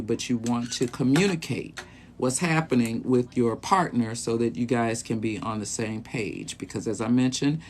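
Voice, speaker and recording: A man in his late fifties talks casually to his live-stream viewers from a small closet. He hosts as a sexologist and rambles along, pausing on fillers and restarting sentences. His pitch sits in an ordinary middle range for a man. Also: a little hiss or hum. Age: 50s. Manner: easygoing and rambling, with many ums and restarts.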